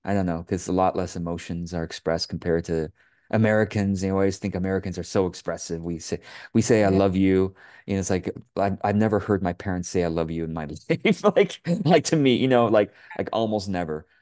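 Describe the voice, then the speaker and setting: A middle-aged man from Taiwan hosting an interview over a video call, asking questions in a halting way with many restarts. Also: low voice, darkish tone. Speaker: host, business coach. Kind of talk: conversational interview. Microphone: webcam on a video call.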